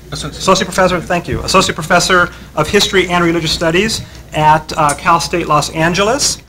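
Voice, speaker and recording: A man moderating a conference panel, introducing speakers in a halting way with many fillers. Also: a little high, pushed and bright, a little hiss or hum, poor audio. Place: conference hall.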